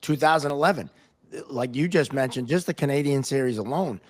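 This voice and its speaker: A man hosting a podcast, chatting casually about hockey in a loose, halting way as he thinks aloud. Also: medium pitch. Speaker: podcast host. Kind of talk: casual sports chat.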